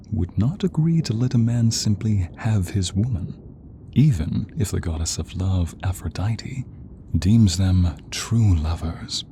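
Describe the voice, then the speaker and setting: Hushed, low, dark-voiced man narrating slowly. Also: slight background noise. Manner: hushed, often dropping into a whisper. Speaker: narrator of an audio roleplay. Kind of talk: slow, dramatic scripted ASMR storytelling.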